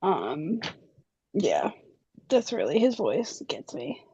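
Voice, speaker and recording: A young woman co-hosting a casual chat, chatty and giggly, her voice a little hoarse. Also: medium pitch, wildly swooping pitch, mellow, no room sound, dull audio. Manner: giggly, chatty, drawn-out fillers. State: slightly hoarse. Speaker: podcast co-host.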